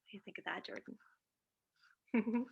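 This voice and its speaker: A woman's voice: medium pitch, slow pace, creaky, husky, phone-quality sound. Woman who stutters, hosting a video call. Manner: stutters with repeated sounds, upbeat and laughing often. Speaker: moderator. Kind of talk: casual video-call chat.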